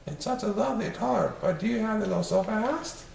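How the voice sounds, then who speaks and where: A man's voice: high-pitched, brisk, gravelly, darkish tone, voice pushed up. A man voicing a mild-mannered, pleasant mother in a children's storybook, politely approving. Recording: roomy, distant mic, faint noise.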